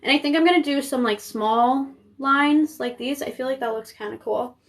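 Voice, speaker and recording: A woman in her twenties from Maine chatting casually on a live stream while she paints, full of fillers and quick asides. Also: sits high. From Maine, United States. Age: 20s. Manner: chatty, rambling, with frequent fillers and giggles. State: pregnant. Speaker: makeup artist streaming live.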